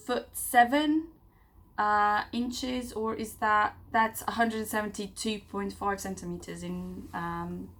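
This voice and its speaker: A woman's voice: mid-range voice, a bit bright. A woman in her late twenties from Serbia, chatting casually to the camera in a personal vlog, rambling with fillers and self-corrections. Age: 20s. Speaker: vlogger.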